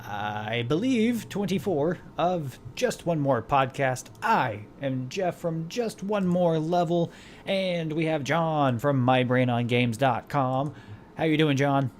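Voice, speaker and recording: A man's voice: a little high, lively intonation, slow, slight background noise. Rambling male podcast host. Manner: rambling, many fillers and restarts. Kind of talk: casual chat.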